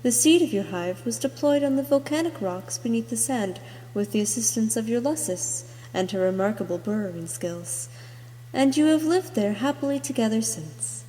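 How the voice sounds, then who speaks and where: A woman's voice: fairly high pitch, lively intonation, normal speed, dark tone, slight background noise. A woman reading a scripted character introduction as an amateur voice actor, in a narrated storytelling style. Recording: echoey, long room reverb.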